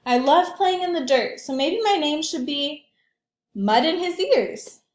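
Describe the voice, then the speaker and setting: Earnest, determined little storybook boy, voiced by a woman, high pitch, emphatic delivery, echoing room. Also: animated, measured pace. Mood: proud, eager. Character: spirited young boy longing for his own name. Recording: echoey room.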